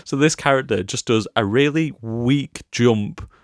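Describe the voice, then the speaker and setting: A man's voice: medium pitch, measured pace, no echo, clean studio sound. A man co-hosting a casual film podcast, giving exasperated, emphatic opinions. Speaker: podcast co-host.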